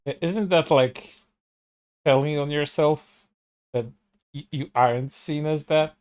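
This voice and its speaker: A man's voice: on the high side, slow, a little mellow, clean tone, faint music behind. A man commenting on a stream, stammering through restarts, swearing a lot and sounding sarcastic. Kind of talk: casual commentary.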